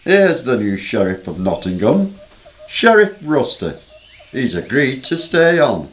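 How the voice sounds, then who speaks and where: A man's voice: medium pitch, big pitch swings, measured pace. A man playing a gracious, stately king. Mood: regal. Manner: stately, courteous. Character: gracious male king, dignified. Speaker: King Richard. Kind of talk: amateur radio play acting.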